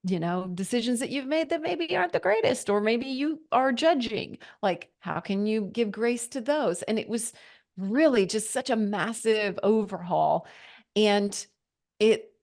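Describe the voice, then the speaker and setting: A woman's voice: on the high side, measured pace, gravelly. Woman in her 40s on a podcast, candid and reflective, acts out her own thoughts. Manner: earnest, reflective, with lively quoted inner thoughts. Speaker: podcast guest. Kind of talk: candid personal storytelling.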